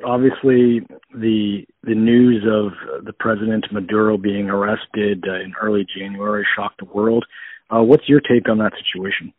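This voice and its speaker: A man's voice: lowish voice, level tone, normal speed, no room sound. A man asking unscripted interview questions as a reporter, heard through a narrow phone-like line. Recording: narrow phone-like radio sound.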